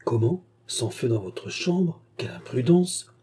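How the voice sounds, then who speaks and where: A man's voice: medium pitch, animated, unhurried, mellow, breathy edge. A man voicing a devoted, fussy fifty-year-old maidservant from a storybook, exclaiming and scolding with deference. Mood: alarmed, fussy. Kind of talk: audiobook character dialogue.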